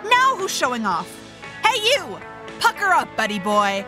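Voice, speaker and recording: Casting: actress as fiery bold witch, high lively voice, brash, music behind. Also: measured pace, heavily acted. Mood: teasing. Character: fiery, brash, hot-tempered female witch. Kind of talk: audio drama acting.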